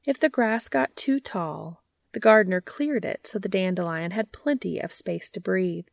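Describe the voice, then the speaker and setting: Woman reading aloud, slightly creaky voice. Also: medium pitch, moderate pace, dry recording, studio quality. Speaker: podcast host and fan fiction reader. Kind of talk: scripted storybook narration.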